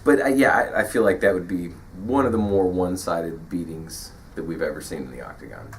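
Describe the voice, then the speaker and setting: A man co-hosting a podcast in casual chat, laid-back and quick to agree, sometimes acting out what a fighter might say. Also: deep voice. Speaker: podcast co-host. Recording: voice a bit far from the mic, faint hiss.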